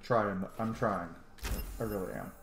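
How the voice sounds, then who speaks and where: A man's voice: fairly low pitch, measured pace, creaky, a bit bright. A man giving casual, rambling let's-play commentary, gushing and swearing freely as he goes. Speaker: gaming streamer playing and commenting. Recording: roomy echo with faint background noise.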